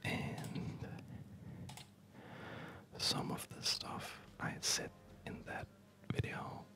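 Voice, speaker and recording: An ASMR gaming host speaking in a soft unvoiced whisper, rambling in a reflective, hesitant way, close to the microphone. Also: drawn-out words. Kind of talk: ASMR, unscripted rambling over gameplay. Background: music.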